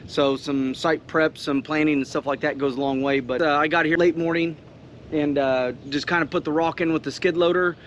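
Builder guy explaining stuff casually outside, voice a bit high, rumbly machinery noise. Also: steady tone, normal speed, fairly bright tone. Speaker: grain bin builder. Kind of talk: casual explaining on a job site. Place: outdoors on a farm building site.

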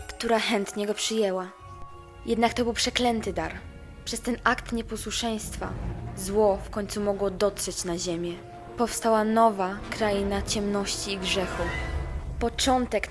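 A woman voicing an idealistic, anxious young princess of hell in an animated fan dub, earnest and expressive. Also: mid-range voice, natural voice. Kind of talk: fan-dubbed animation, storybook narration and dialogue.

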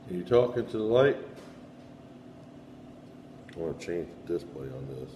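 A man's voice: low voice, big pitch swings, a little slow, warm tone. A middle-aged man hosting a paranormal investigation, talking off the cuff and putting questions aloud to unseen spirits in a bare room. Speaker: paranormal investigator, show host. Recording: echoey room with light background hum.

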